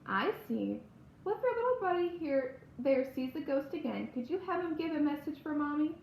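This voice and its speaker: A woman voicing a weary but sweet, indulgent mother in a storybook, gentle and lilting. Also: high-pitched, animated, moderate pace, vocal fry, pitched above the performer's own voice. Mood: playful, coaxing. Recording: roomy echo, faint background noise.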